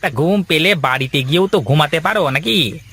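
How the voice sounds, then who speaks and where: Man voicing a high, creaky cartoon village idler. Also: animated, fairly quick, pushed and bright, exaggerated voice. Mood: chatty, nosy. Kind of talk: cartoon voice acting.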